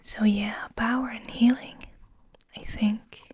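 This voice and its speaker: A woman hosting ASMR in a breathy whisper, speaking in a soft, soothing, unhurried manner. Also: a little high, fairly even intonation. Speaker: ASMR host.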